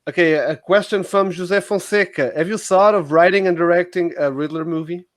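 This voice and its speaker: A man's voice: high-pitched, brisk, no echo, clean studio sound. A man from Portugal with a Portuguese accent, hosting a casual interview and putting questions to a guest. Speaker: stream host, interviewer.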